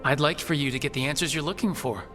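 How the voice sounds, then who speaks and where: Inquisitive, direct fantasy hero. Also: male voice, a little high, fast talker, pushed and bright, soft background music. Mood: supportive, curious. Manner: direct, questioning. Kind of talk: video game voice acting.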